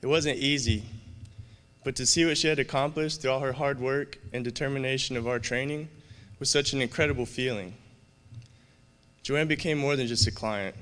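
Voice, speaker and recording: A man in his thirties, a student award finalist, reading a measured, heartfelt prepared speech at a podium on the stage of a large event hall. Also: mid-range voice, fairly bright tone. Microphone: podium microphone. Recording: echoey hall reverb.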